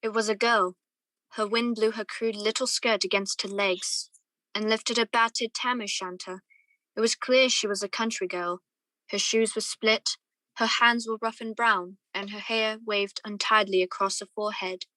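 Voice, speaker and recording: Young woman playing a bright-voiced wistful gentleman narrator. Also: mid-range voice, no echo, lightly acted. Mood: admiring, reflective. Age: young adult. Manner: lyrical, reflective storytelling. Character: wistful man recalling his youth, courteous and reflective. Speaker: student actor. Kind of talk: radio play narration and acting.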